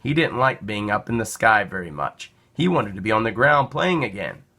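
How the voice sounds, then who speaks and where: A man reading a children's picture book aloud in an expressive, deliberate storytelling manner. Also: fairly low pitch. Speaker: storybook reader.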